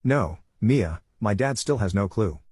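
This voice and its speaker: A man's voice: on the low side, expressive, measured pace, darkish tone, husky, no echo, studio quality. A man voicing a gossipy, scornful teenage daughter chatting casually in a narrated story.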